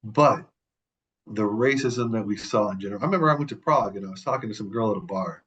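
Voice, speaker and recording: A man from the Middle East speaks as a podcast guest, his voice sitting a little low. He tells personal stories in an easy, conversational way. He hammers his points home by repeating key words, and he often stops and restarts mid-sentence. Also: quick pace, a bit bright, dull audio. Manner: emphatic, repeats words for stress; frequent restarts and self-corrections. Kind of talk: casual conversation, personal storytelling.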